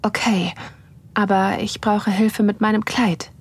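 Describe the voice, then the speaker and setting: A woman playing an excited, aroused wife who narrates an erotic audio drama in an intimate, breathy manner. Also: medium pitch, a little slow. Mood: sensual, expectant.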